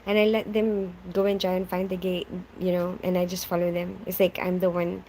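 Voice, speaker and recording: A woman's voice: fairly low pitch, flat delivery, fairly quick, warm tone, a little hiss or hum, low-quality recording. A young woman who grew up in the Philippines, chatting casually on a live stream, reading out viewers' names and answering them, often laughing.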